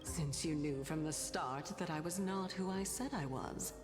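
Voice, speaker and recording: A woman voicing a calm, gracious ancient goddess in human disguise, speaking softly in a breathy half-whisper. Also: low-pitched, measured pace, big-room sound. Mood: calm, knowing. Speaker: game character. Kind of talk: video game voice acting. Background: game music.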